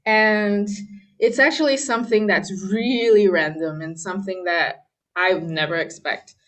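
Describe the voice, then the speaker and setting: A woman's voice: mid-range voice, steady tone, moderate pace, a bit bright. Chatty young woman from Malaysia. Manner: chatty, many fillers, laughs often. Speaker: guest pianist. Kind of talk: casual interview talk.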